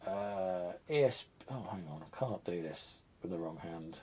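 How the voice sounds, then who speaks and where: A man's voice: low-pitched, lively intonation, normal speed, mellow, slightly rough. A man, congested from a cold, chatting casually and rambling as he tinkers with hardware on a live stream, poking fun at his own disorganisation. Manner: rambling, self-deprecating, thinks aloud while tinkering. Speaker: retro computer channel host.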